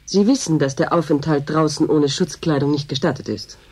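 Husky, world-weary station boss. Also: female voice, sits low, fairly quick, a little mellow. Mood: officious. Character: world-weary, dryly officious female boss of a land reclamation station. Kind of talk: German radio drama acting.

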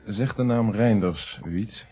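Husky, firm police inspector. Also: male voice, lowish voice, measured pace, dark tone. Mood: narrating, probing. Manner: articulate, formal, explanatory. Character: calm, principled male police inspector, middle-aged, firm and methodical. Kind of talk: Dutch radio detective play acting. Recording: dull, muffled old radio recording.

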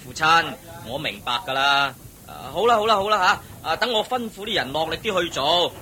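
An actor playing a dutiful, patient grown son in a radio drama, earnest and soothing, with a Hong Kong Cantonese accent. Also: male voice, animated, brisk, bright, forceful tone. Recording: old radio drama with faint rumble.

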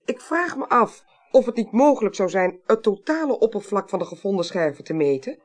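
Woman investigator, radio drama: probing, precise, courteous, with very lively intonation. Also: mid-range voice, fairly quick. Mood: keen, thoughtful. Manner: inquisitive, thoughtful, precise. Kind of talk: 1980s Dutch radio drama acting.

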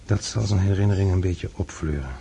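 An actor playing a suave, taunting gambler in a radio drama, mock-courteous and smug. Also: male voice, low voice, fairly quick, creaky. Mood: coolly calculating. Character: suave, taunting male gambler, coolly gloating over his victim. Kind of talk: radio drama acting in Dutch. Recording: radio play with crowd noise behind.

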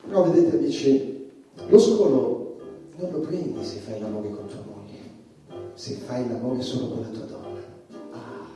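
An actor playing a provocative, irreverent male stand-up comedian in a theatrical monologue, addressing the audience with dramatic pauses and repeated phrases. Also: mid-range voice, lightly acted. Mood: mock confiding. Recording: echoey room, distant mic, music behind.

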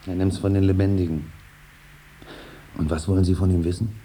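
Guarded, grumbling man acted by a man, low pitch, terse, 1950s radio play, slight background noise. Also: flat delivery, fairly quick, warm tone, natural voice. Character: evasive, grumbling man waiting for advice. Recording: faintly hissy 1950s radio recording.

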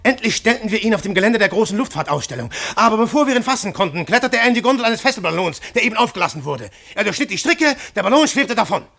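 An earnest, driven pursuer who also narrates a radio drama. His voice is fairly high and sharply bright, with intense, dramatic emphasis. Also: expressive, fast talker, gravelly, hardly any pauses, exaggerated voice. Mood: excited, suspenseful. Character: earnest, urgent pursuer narrating a dangerous manhunt. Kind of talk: German radio play acting and narration.

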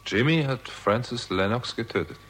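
A man's voice: mid-range voice, animated, moderate pace, fairly bright tone, husky. A man playing a dogged, dry-witted investigator in a German radio crime drama, questioning in short, probing phrases. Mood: probing, insistent.

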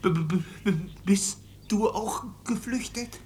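An actress playing an anxious runaway seeking shelter in a radio drama, pleading haltingly with a heavy stammer. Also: female voice, medium pitch, a little mellow, natural voice. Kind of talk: German radio drama acting.

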